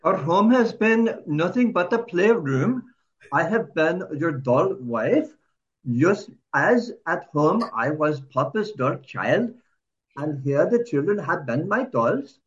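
Man doing a Norwegian accent, slow, lilting, raised pitch, muffled sound. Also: big pitch swings. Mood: earnest. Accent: put-on Norwegian.

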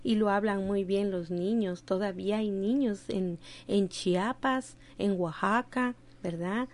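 An older woman from Mexico with a Mexican accent, chatting warmly in a group, full of affectionate words and quick agreements. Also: mid-range voice. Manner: warm, affectionate, many short agreements. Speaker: panelist. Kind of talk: casual panel chat.

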